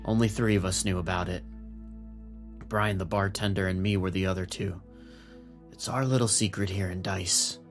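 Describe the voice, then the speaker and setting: Deep-voiced gruff police captain, played by a man. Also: steady tone, normal speed, long pauses, faint music behind, close to an everyday voice. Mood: confiding, knowing. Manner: plain-spoken, streetwise, in command. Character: gruff, shrewd male police captain of a crooked city. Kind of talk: noir audio drama dialogue.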